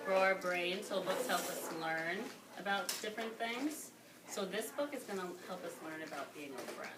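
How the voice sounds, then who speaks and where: Female primary teacher for an interactive storytime, expressive intonation, instructive, heard from afar in an echoey, noisy classroom. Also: on the low side, moderate pace. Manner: animated, questioning, instructing. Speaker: teacher of young children. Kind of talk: interactive classroom read-aloud to young children. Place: classroom with children. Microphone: phone camera at a distance. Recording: distant, echoey, very noisy phone recording.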